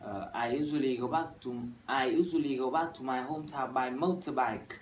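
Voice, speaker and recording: A Vietnamese man in his early twenties speaks in steady, rehearsed English with a Vietnamese accent and the odd "uh". He is recorded from a distance in a roomy, echoing space, with faint noise behind him. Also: mid-range voice. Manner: measured, rehearsed delivery with "uh" fillers. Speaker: university student. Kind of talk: scripted classroom dialogue practice. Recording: echoey room from far off, faint noise.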